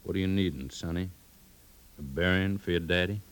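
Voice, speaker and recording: Deep, laconic Southern raider chief. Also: male voice, a little fast, fairly bright tone. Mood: cool, measured. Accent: American, rural Southern. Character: gruff older male raider leader, unhurried, cool. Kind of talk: 1950s radio drama acting. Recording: noisy old radio broadcast recording.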